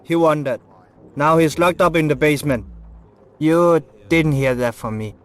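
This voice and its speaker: A man acting as an irritable informant with a bellyache in a video game. His fairly high voice is curt, evasive and full of complaint. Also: normal speed, natural voice. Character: grumpy, evasive man with a stomach ache.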